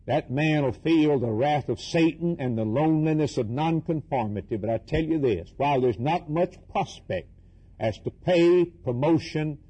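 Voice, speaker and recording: A man's voice: on the high side, moderate pace, warm tone, slightly rough, rough recording. A man preaching a fervent Bible sermon, emphatic and repetitive, using rhetorical questions, short declarative bursts and dramatic delivery of scripture. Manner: dramatically voices quoted scripture and dialogue.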